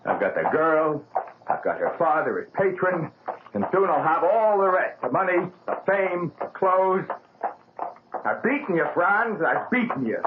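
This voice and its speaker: A man's voice: high voice, sing-song, unhurried. An actor playing an arrogant young male pianist, boastful and bitter, in a 1970s radio drama. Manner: sneering, boastful, theatrical swings. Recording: noisy, tinny old radio broadcast.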